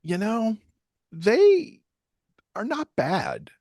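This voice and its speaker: A man's voice: high-pitched, huge pitch swings, drawn-out words, a little mellow, no room sound, studio quality. A man chatting casually as a podcast guest, talkative and easy to laugh. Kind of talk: casual conversational interview.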